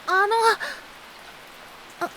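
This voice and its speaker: A woman voicing a timid, innocent young man in a Japanese audio drama, soft-spoken, hesitant and stammering. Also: very slow, slight background noise, heavily acted, rough recording. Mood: flustered.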